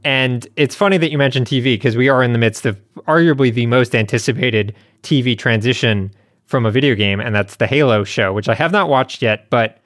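A man's voice: medium pitch, fairly quick, a bit bright. A man hosting a podcast in a casual, conversational way. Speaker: podcast host. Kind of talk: casual podcast chat and ad read.

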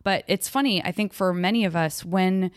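A woman's voice: lowish voice, normal speed, clean tone, no echo. Chatty woman host, dry sound. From Arizona. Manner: chatty, rambling with many restarts. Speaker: podcast host. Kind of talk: casual interview chat.

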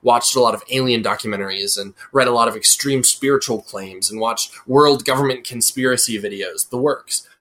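A man reads his own story plainly and reflectively. His voice sits at an ordinary middle pitch with nothing unusual in its sound. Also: moderate pace, fairly bright tone. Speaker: listener telling his story. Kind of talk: read personal testimony.

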